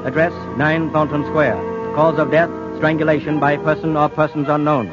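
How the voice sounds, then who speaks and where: A man's voice: a little high, little pitch movement, moderate pace. A man giving dramatic scripted narration in 1940s radio style. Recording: dull old radio, loud music behind.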